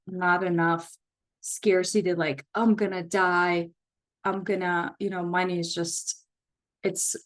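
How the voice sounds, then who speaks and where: A woman's voice: lowish voice, gravelly. Russian-accented woman musing to a workshop. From Belarus. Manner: thoughtful, restarts often, interacts with participants. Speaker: therapist leading a workshop. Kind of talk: casual workshop talk.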